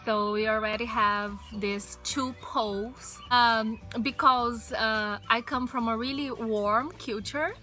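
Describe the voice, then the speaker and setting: A woman's voice: on the high side, steady tone, takes it slowly, few pauses, sharp tone, faint music behind, poor audio. A young woman from Brazil with a Brazilian Portuguese accent, chatting casually to camera in English with many fillers, outdoors on a patio. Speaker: vlogger. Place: outdoor patio by a wooden fence.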